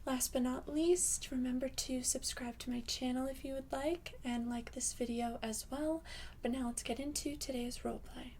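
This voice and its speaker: A woman hosting an ASMR channel, speaking softly in a hushed, partly whispery voice as she addresses her viewers. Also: sits high, fairly even intonation, moderate pace, a little hiss or hum. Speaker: ASMR video creator and host. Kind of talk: soft-spoken ASMR channel introduction.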